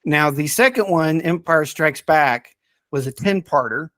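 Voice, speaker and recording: A man hosting a casual podcast, talking enthusiastically but haltingly as he searches for names and details. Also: fairly high pitch, a bit bright, dry recording, clean studio sound. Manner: enthusiastic about the subject. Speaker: podcast host.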